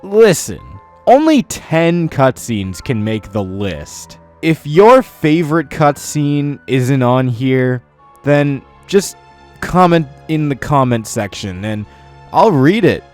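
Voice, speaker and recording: A man's voice: medium pitch, sing-song, slow pace, darkish tone. A man hosting a scripted countdown video, commenting in a mock-earnest way with exaggerated praise. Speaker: video host. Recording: music under the voice. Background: music.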